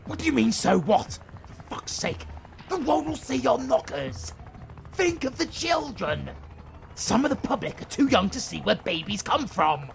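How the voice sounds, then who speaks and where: Man acting a wildly expressive British supervillain. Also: very high voice, a little slow, vocal fry, soft background music, exaggerated voice. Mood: exasperated, panicked. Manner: theatrical, swinging between menacing and exasperated. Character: flustered theatrical male supervillain, smitten with his heroine rival. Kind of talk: romantic comedy audio roleplay acting.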